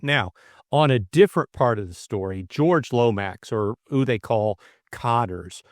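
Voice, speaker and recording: A man's voice: mid-range voice, expressive, unhurried, dry recording, clean studio sound. A middle-aged man co-hosting a casual book discussion, thinking aloud in a hesitant way. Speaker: audiobook co-host and narrator.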